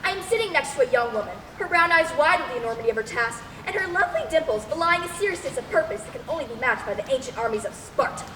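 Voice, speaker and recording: A gushing, grandiose lady war reporter played by a young woman, high and quick, declaiming florid lines. It is a comic radio play, heard in a reverberant hall. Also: expressive. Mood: grandiose, earnest. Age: young adult. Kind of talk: comic old-time radio broadcast acting. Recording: distant, echoey hall, noisy background.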